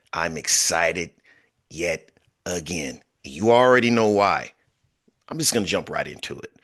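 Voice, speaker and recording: A man hosting a podcast interview, welcoming his guest in an effusive, formal way with drawn-out phrasing. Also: low voice, a bit bright. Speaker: podcast host.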